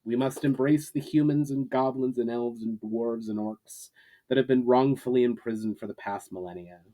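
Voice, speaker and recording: Storybook role: man playing an earnest male leader, solemn and declamatory, addressing massed armies in measured phrases, mid pitch. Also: dark tone, lightly acted, poor audio. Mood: hopeful, earnest. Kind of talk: dramatic storybook reading.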